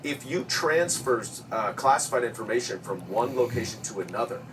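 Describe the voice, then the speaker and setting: A man's voice: mid-range voice, animated, moderate pace. A man giving emphatic, explanatory commentary as an expert on a news panel. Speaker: expert panel commentator, former congressional intelligence committee staffer. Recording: noisy, distant-sounding audio.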